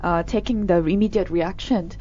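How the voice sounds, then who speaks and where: A woman with a Korean accent co-hosting a casual news discussion, speaking haltingly with many fillers. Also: lowish voice, fairly even intonation, mellow, highs cut off, a little hiss or hum. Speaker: co-host of a news discussion show.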